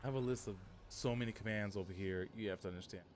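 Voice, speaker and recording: Man livestreaming over clear background noise. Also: on the low side, fast. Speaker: game livestreamer. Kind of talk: casual livestream chat with short voice commands to a game.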